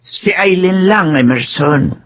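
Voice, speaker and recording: An actress playing a worried grandmother who confides gravely in a radio drama. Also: fairly low pitch, lively intonation, slow pace. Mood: troubled. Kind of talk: Filipino radio drama acting.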